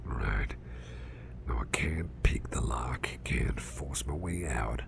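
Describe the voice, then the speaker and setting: A man playing a big, strong, grumpy boss who is trying to stay calm, in a gravelly, breathy near-whisper for an ASMR roleplay. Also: a bass voice, background noise, exaggerated voice. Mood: self-calming. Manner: slow, halting, murmured, restrained.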